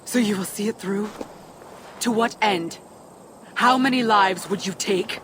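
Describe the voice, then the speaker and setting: A woman's voice: medium pitch, unhurried, fairly bright tone, slight background noise, lightly acted. Cool, proud giantess, played by a woman. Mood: cool resolve. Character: proud, defiant giantess, daughter of a tyrant. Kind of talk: dramatic video game cutscene acting.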